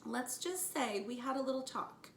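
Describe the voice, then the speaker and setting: A wise, gentle enchantress read by a woman from a children's storybook. She speaks in a composed, measured way with a touch of fry, in a roomy recording. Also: high voice, expressive, pitched above the performer's own voice. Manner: soft-spoken, measured. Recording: roomy, distant mic, faint noise.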